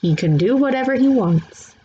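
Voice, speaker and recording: Woman as an insistent grandmother, pitched up. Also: lively intonation, normal speed, warm tone, highs cut off, slight background noise, close to an everyday voice. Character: indulgent, firm grandmother.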